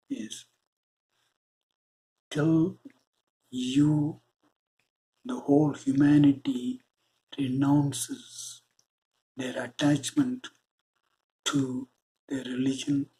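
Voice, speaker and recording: A man with an Indian English accent delivers a spiritual talk at an unhurried pace. His voice is dark and mellow, and he speaks with earnest, deliberate pauses between phrases. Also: mid-range voice, low-quality recording. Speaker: spiritual channel host. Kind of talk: spiritual monologue.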